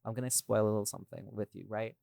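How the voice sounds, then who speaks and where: A man's voice: fairly low pitch, fairly even intonation, rapid-fire, gravelly, mellow, no echo, clean studio sound. A man hosting a casual podcast, explaining his creative choices emphatically and speaking straight to his listeners. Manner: emphatic, addresses listeners directly. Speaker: podcast host and audio drama creator.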